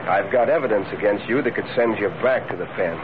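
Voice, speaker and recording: An actor playing a pushy, smooth-talking gang leader in a 1940s radio drama, slangy and needling. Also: male voice, on the low side, fast, close to an everyday voice. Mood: threatening, bossy. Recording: tinny, noisy old radio recording.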